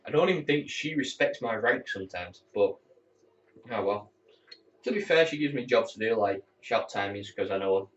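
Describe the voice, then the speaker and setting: A man's voice: lowish voice, expressive, normal speed, a bit bright, far from the mic, a little hiss or hum. A teenage lad in the air cadets talks casually to his camera at home, rambling freely and swearing now and then. He sits some way from the mic. The sound is dull and muffled. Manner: candid, rambling, swears when annoyed.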